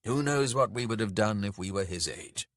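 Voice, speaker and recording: A man's voice: lowish voice, normal speed, dry recording, clean studio sound, close to an everyday voice. A man voicing a sardonic, sweetly mocking male swordsman in a fantasy audiobook, snappy and sarcastic. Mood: snapping.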